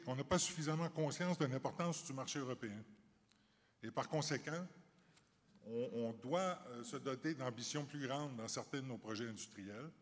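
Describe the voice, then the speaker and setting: A middle-aged man from Canada, giving measured, formal panel remarks with occasional hesitations. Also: mid-range voice. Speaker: company chief on a panel. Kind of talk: prepared panel remarks, then answering questions. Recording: reverberant conference hall.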